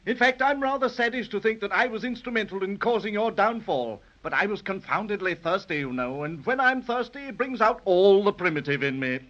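An old radio recording, dull and boxy, of a high-pitched gentleman stork. He is pompous and effusive, with an absurdly posh British accent and a conceited, apologetic air. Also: expressive, brisk, hardly any pauses. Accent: British upper-class. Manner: pompous, effusive upper-crust phrasing. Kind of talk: 1930s radio acting. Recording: dull, band-limited 1930s radio recording.

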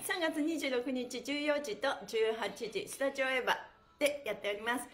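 A middle-aged woman leading a livestreamed exercise session in an exuberant, encouraging, giggly way. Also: fairly high pitch. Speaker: stretching instructor and pantomime performer. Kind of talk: livestreamed exercise instruction, cheerful chanting and chat. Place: small bare indoor studio room. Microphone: camera or phone a short distance away. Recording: roomy indoor echo.

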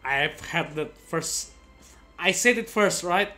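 A man streams gameplay with excitable reaction commentary. His voice is somewhat high and he often repeats himself. Soft game music runs behind him. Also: slow.

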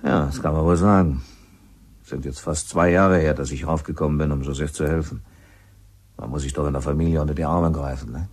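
An actor playing a middle-aged, gossipy widowed helper at a mountain lodge, chatting in a rambling, resigned way in a radio play. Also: male voice, a bass voice, fairly even intonation, a little fast, husky. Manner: chatty, rambling, plain-spoken. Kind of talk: radio play acting, conversational.

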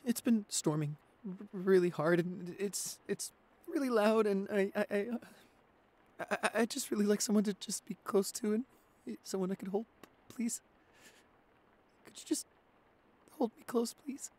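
A man's voice: high-pitched, normal speed, darkish tone. A man voicing a shy, anxious, apologetic nineteen-year-old boyfriend in an ASMR roleplay, stammering and hesitant as he pleads. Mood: frightened, trembling.

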